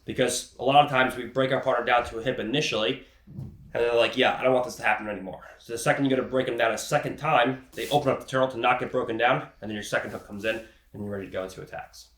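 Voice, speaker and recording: A man's voice: medium pitch, fairly even intonation, quick pace, a bit bright, echoey room. A man with a cold teaching grappling techniques in a casual, explanatory way, often checking in with "okay". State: has a cold, sniffling. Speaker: grappling instructor. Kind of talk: instructional teaching.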